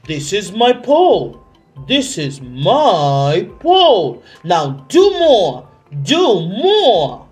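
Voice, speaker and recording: A woman's voice: mid-range voice, huge pitch swings, darkish tone, soft background music, exaggerated voice. A woman voicing a tyrannical male head elf who barks stern, emphatic orders in a children's storybook. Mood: possessive, demanding. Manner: stern, emphatic, drawn-out commands.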